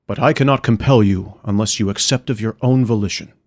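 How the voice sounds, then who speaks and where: A man voicing a radiant, smiling male otherworldly being in fine clothes, speaking in an inviting, gently persuasive way. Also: on the low side, fairly quick. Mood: coaxing.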